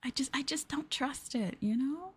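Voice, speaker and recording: A woman streamer talking softly and gently in an ASMR live chat, often dropping into a whisper, close to the microphone. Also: high voice, moderate pace. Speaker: VTuber streamer. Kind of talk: soft-spoken ASMR livestream chat.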